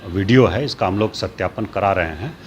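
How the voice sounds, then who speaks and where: A man speaking as an official, giving a halting statement to the press. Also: low-pitched. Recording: noisy interview sound.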